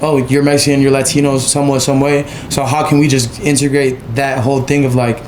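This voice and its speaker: A man speaks in a fairly flat, even tone and rambles through his thoughts with plenty of fillers. He is a podcast guest. The recording carries a roomy echo. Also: mid-range voice, brisk, slight background noise. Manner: rambling, many fillers and 'you feel me'. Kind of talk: casual chat. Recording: echoey room.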